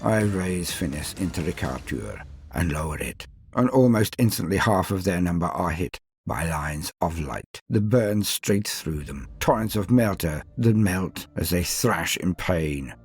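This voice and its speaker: A man playing a proud, coldly resolute veteran space marine captain in a solemn, measured sci-fi war monologue with dramatic pauses. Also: deep voice, expressive, husky, faint music behind, exaggerated voice.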